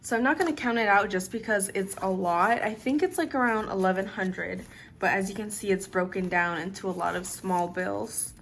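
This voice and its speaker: A young woman in her twenties vlogging casually to camera, her voice a little raspy from staying up late, talking in a relaxed, chatty way with plenty of "like" and "literally". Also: mid-range voice, moderate pace. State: slightly raspy from lack of sleep. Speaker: budgeting vlogger.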